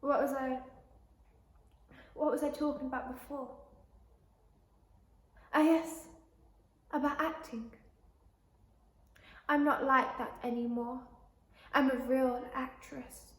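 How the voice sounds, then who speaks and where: A woman performs a fragile young actress from a classic tragic play, worn out and wounded yet clinging to faith. Her high voice moves slowly and haltingly, circling back on itself, in a roomy, echoing space. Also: fairly even intonation. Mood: wounded, distracted. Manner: halting, repeating, drifting between thoughts. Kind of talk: stage monologue, classic tragic drama.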